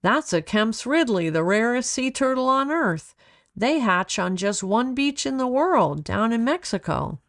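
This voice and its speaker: A woman's voice: fairly high pitch, very animated, measured pace, no room sound, studio quality, voice pushed up. A woman voicing a kindly, knowledgeable male wildlife rescuer in a children's storybook, reassuring and explanatory. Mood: explaining. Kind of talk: children's storybook read-aloud.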